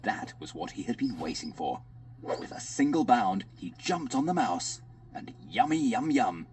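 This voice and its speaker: A man narrating a children's fairy tale in an expressive, scripted audiobook style. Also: on the low side, a little slow, a little hiss or hum. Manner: animated, expressive storyteller. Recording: music behind the voice.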